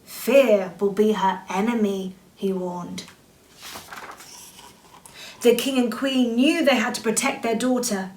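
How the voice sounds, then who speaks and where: A woman's voice: a little high, slow pace, long pauses, a little mellow, slight background noise. A woman reading a children's bedtime story expressively, drawing out words for dramatic emphasis. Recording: roomy echo, distant from the mic.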